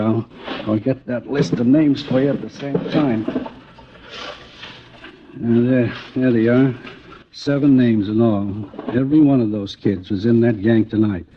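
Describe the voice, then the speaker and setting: An actor playing an aggrieved, suspicious American theater manager with a hesitant, confiding, folksy manner in a radio drama. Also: male voice, medium pitch, lightly acted. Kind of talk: naturalistic 1950s radio drama acting. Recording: dull, faintly noisy old radio recording.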